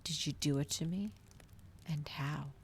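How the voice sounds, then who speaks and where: Woman acting cold vampire girl, storybook character, icy, demanding, low creaky tone, faint background noise. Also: measured pace, darkish tone.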